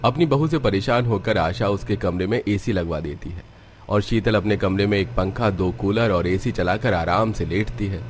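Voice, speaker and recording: A man with a fairly low voice narrates a cartoon story from a script. He works as the storyteller between the characters' scenes. There is faint noise behind him. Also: moderate pace.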